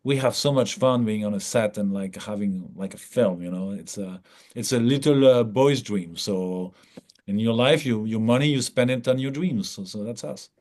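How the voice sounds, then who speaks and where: A man's voice: lowish voice, normal speed, darkish tone. A man from France, a native French speaker, answering as a filmmaker in a casual, colloquial English interview with an explanatory manner. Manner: explanatory, colloquial, acts out hypothetical remarks.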